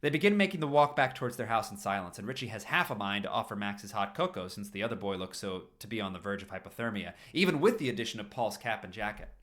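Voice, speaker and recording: A man's voice: fairly low pitch, lively intonation, quick pace, hardly any pauses, fairly bright tone. A man reading a story aloud expressively on a stream, now and then tripping over a phrase and repeating it. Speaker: fan fiction reader on a livestream.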